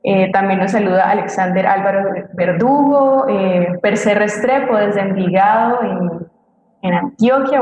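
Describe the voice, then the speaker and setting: A woman's voice: mid-range voice, a little mellow, highs cut off, slight background noise. A woman co-hosting a live broadcast, announcing greetings to the audience. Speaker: co-host reading audience greetings. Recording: roomy, echoing sound.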